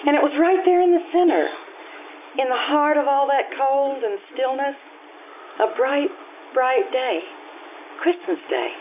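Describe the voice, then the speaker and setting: An actress playing a harried, wistful housewife and mother in an old-time radio drama, with theatrical pauses. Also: high-pitched, expressive, slight background noise. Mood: nostalgic, wistful. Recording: tinny band-limited radio play recording.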